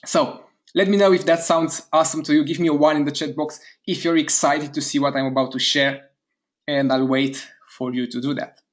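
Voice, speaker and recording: A man from Romania hosting a live online sales webinar, upbeat and eager, talking straight to his chat audience and repeating words in quick bursts of praise. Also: on the high side, steady tone. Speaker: webinar host.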